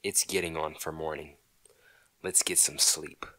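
Man acting very deep, grumpy radio husband. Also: steady tone, unhurried, pushed and bright, dry recording, heavily acted, rough recording. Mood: grumpy, curt. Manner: curt, sardonic, dismissive. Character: stubborn, grumpy, scornful husband and father. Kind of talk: old-time radio comedy acting.

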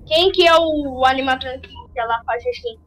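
A woman's voice: high-pitched, fairly quick, a loud crowd. A young woman on voice chat while gaming, curious and asking lots of questions. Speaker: gamer playing with friends.

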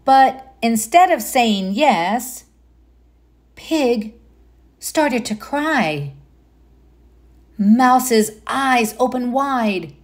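A woman's voice: a little high, animated, extremely slow, pauses often. A woman reading aloud a children's story, expressively and addressing young listeners. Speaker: storybook reader. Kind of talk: children's read-aloud narration.

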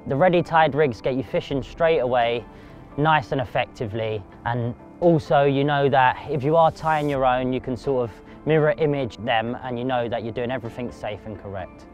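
A man's voice: mid-range voice, normal speed. Young man presenting over music. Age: young adult. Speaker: angler presenting a tackle tutorial. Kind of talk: instructional talk to camera. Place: outdoors by a riverside path. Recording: music behind the voice.

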